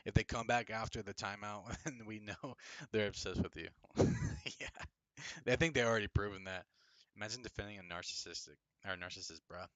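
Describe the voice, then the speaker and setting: Young male streamer, slangy and scornful, bright lower voice, dry close mic. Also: moderate pace, dry recording. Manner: mocking, laughing often, profane, slangy. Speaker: livestream host. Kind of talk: casual livestream chat reading comments. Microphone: desk microphone.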